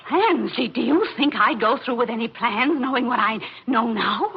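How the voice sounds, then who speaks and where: A woman's voice: a little high, expressive, moderate pace, slightly rough, heavily acted. An actress playing an intense, haunted and determined woman in a 1940s radio suspense drama. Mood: horrified. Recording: muffled old radio recording.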